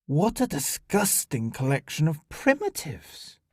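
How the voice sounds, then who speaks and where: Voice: medium pitch, lively intonation, moderate pace, creaky, warm tone, no echo, studio quality. A performer voicing a haughty, irritable male alien scientist in an audio story, with a sneering, indignant, emphatic delivery. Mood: disdainful.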